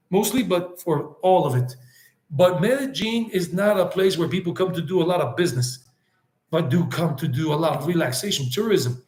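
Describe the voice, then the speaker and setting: A man talks casually on a live stream, rambling from point to point, answering viewers and dropping Spanish words into his talk. His voice sits a bit high for a man. The room gives it a noticeable echo. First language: English. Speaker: travel vlogger hosting a live stream.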